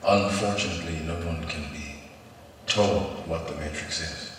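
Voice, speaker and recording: An actor playing a calm, grave mentor who speaks in slow, measured, solemn tones, heard as a film clip over hall speakers. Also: male voice, low-pitched, distant mic, slight background noise. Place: large conference hall.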